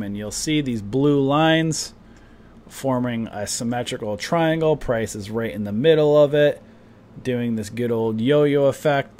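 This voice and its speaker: A man in the middle pitch range talks loosely over his charts, leaning on "you know" and "right" as he goes. His voice sounds a bit worn today, with the odd cough and throat-clear between thoughts. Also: darkish tone, a little hiss or hum. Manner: rambling, chatty, many fillers like "you know" and "right". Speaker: stock trading streamer. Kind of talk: casual market commentary to viewers.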